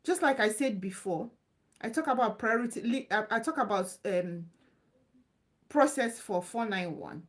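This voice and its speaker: Woman, live Q&A host, casual and reassuring, fairly high voice. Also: animated, normal speed. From Nigeria. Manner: chatty, loose and repetitive, reassuring. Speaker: live stream host answering visa questions.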